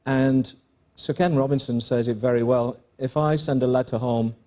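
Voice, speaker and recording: English man, middle-aged, school principal type, mellow and measured, dry wit. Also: medium pitch, fairly even intonation. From England. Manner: measured, explanatory, dry jokes. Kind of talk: panel interview on stage. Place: conference stage.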